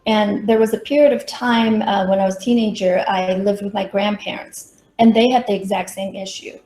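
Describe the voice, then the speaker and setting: A woman's voice: medium pitch, steady tone, normal speed, darkish tone. Woman presenting a workshop in an echoey room. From Taiwan. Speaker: professional organizing consultant giving a workshop. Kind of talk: prepared presentation with personal stories. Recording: roomy echo, faint background noise.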